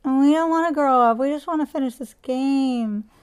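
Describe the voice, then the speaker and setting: A woman's voice: sits high, normal speed, voice pushed up, close to an everyday voice. A woman voicing a whiny young boy who begs to stay up past bedtime. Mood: pleading. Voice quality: loud and whining.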